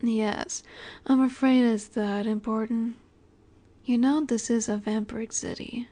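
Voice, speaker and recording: Soft, slow-speaking vampire seductress, acted by a woman. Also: a little high, fairly even intonation. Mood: coaxing, earnest. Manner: soft, breathy, measured, often dropping to a whisper. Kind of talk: intimate scripted audio roleplay.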